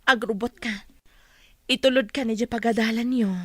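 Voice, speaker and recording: A woman's voice: fairly high pitch, steady tone, normal speed, no echo, lightly acted. A woman playing a hurt but steadfast mother in an Ilocano radio drama, counselling her children earnestly. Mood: firm, encouraging.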